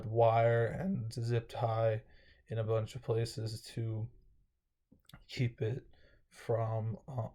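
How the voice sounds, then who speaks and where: Flat, mellow-voiced man recapping his day. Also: medium pitch. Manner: rambling, many fillers and restarts. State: slightly dry throat. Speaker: vlogger. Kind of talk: casual day-recap vlog.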